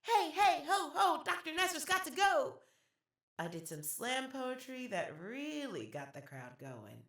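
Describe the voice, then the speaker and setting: A woman's voice: sits high, big pitch swings, unhurried, a bit bright, no room sound, studio quality, exaggerated voice. A young woman with an American accent acting a savvy theater student in an audio play, sardonic and theatrical as she talks to the audience. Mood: polished, performing. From Detroit, United States. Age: young adult. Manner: theatrical, sardonic, swinging between confiding and performing. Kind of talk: dramatic monologue to the audience.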